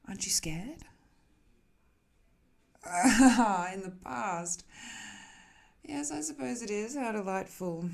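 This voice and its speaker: Woman acting a slow, creaky, softly menacing ancient being. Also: medium pitch. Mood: tentative, curious, then amused, fond. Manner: hesitant, musing, soft-spoken with whispery dips. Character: ancient cursed living weapon, softly menacing, wistful villain. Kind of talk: intimate ASMR roleplay audio drama.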